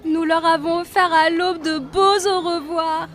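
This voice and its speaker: A gleeful bulletin announcer at a piercingly high pitch. She stretches her words in an exaggerated way, with a little music in the background. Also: fairly even intonation, unhurried. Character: shrill, gleeful female news-chorus announcer. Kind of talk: mock radio news bulletin. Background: faint music.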